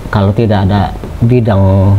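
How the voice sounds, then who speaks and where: A man's voice: on the low side, a little slow. A middle-aged man giving a casual lecture to students, talking conversationally with many hesitant fillers and putting questions to the class. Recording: muffled with steady background noise.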